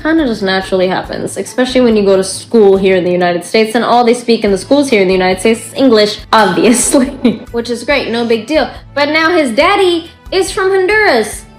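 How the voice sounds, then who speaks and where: A young woman from Bangladesh chatting casually to camera as a mom vlogger, often tagging her lines with "okay?" and jumping higher to quote her toddler. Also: fairly quick, hardly any pauses. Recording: echoey room with faint music.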